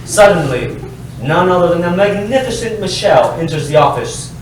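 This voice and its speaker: A very echoey, noisy recording, distant from the mic, of a man narrating a play. He reads out scripted stage directions in a slightly high voice. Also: expressive, normal speed. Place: live staged reading in a roomy space.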